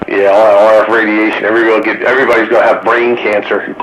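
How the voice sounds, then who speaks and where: A man's voice: lowish voice, flat delivery, racing, a bit bright, rough recording. A man talking casually as a radio hobbyist on a CB net. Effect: CB radio transmission. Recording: light CB radio background hiss.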